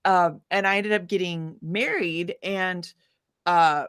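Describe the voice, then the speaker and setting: A woman musician telling her story casually in an interview, with an animated, informal manner. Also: on the low side, takes it slowly, clean tone, no room sound, studio quality. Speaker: guest musician, singer-songwriter.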